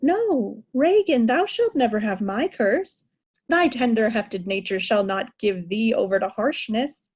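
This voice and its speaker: A woman's voice: a little high, expressive, a little mellow, slightly rough. A woman playing a proud aging king, raging at ungrateful daughters in a declamatory Shakespearean style. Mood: pleading. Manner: declamatory, deliberate, anguished. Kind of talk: Shakespearean reader's theatre acting.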